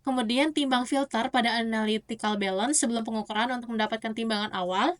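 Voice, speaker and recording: A young woman gives an explanatory oral presentation as a student, often halting to correct herself. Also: a little high. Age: young adult. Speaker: university student.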